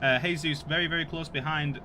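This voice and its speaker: A man with a Yorkshire accent commentating an online race, jokey and quick to burst into excited shouts when cars crash. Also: on the high side, background noise. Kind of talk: sim racing commentary.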